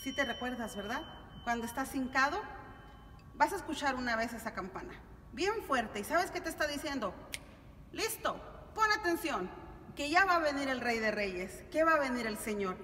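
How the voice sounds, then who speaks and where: High-voiced woman in an echoey church. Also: animated, moderate pace, bright, forceful tone. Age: middle-aged. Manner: didactic, asks check-in questions. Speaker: catechism teacher. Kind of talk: explanatory religious lesson for families. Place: large stone-walled church, indoors. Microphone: camera a few steps away. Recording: echoey church hall, distant mic, noisy.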